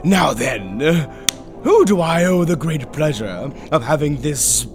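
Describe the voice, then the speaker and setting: Suave theatrical demon tempter for roleplay audio: high-ish, very animated delivery, mock courtesy, condescending drawl. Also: male voice, slow pace, over music. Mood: mocking, amused. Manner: drawling, flamboyant, condescending politeness. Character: suave, theatrical demonic bird tempter, mockingly polite villain. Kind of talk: scripted character roleplay audio.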